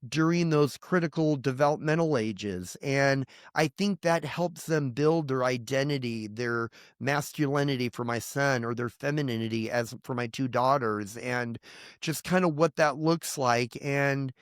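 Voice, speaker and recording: A man's voice: medium pitch, a little slow, smooth, dry recording, clean studio sound. A middle-aged man, a pastor, talking earnestly and emphatically about family and loss in a podcast conversation. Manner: earnest, emphatic, grows heated and indignant. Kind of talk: candid testimony in conversation.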